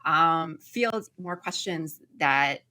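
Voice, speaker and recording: Bright-voiced woman in casual commentary. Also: low voice, animated, very slow. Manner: filler-heavy, ends points with "right?". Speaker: political commentator. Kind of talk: casual news discussion.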